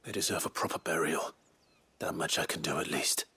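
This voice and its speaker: A man playing a brooding, defiant British warrior in a video game, grave, measured and quietly menacing. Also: very deep voice, vocal fry, noisy background. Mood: weary, resolute.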